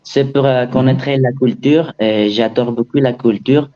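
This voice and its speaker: A Nicaraguan man in his twenties, a guest, talking in halting speech as he searches for words. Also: medium pitch, fairly even intonation, mellow, muffled recording. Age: 20s. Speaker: guest, Spanish teacher. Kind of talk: casual chat.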